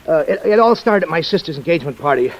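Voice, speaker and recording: A nervous, hungover man in a 1940s detective radio play. His high voice stammers and whines through his hesitant pleas. There is faint noise on the old recording. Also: lively intonation, fast, dull audio. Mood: groaning, queasy. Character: jittery, hungover male client with a sob story. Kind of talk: 1940s radio drama acting.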